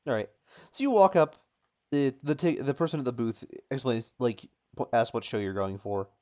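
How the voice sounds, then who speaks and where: A man's voice: mid-range voice, moderate pace, a little mellow, dull audio. A man improvising narration as a tabletop game master, often searching for words and repeating phrases as he describes things.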